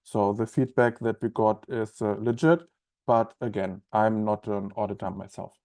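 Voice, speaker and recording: German guy running a work webinar, mellow voice, lots of ums and uhs. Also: fairly low pitch, steady tone, normal speed. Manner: loose and conversational, many ums and uhs. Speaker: onboarding specialist presenting a webinar. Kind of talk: webinar presentation.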